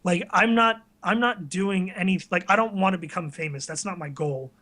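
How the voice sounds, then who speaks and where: A man's voice: high-pitched, moderate pace, bright, forceful tone. A man talking casually as an interview guest, earnest and a little hesitant, putting himself down modestly. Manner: earnest, hesitant, self-deprecating. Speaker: interview guest, web video creator. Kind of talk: casual conversational chat.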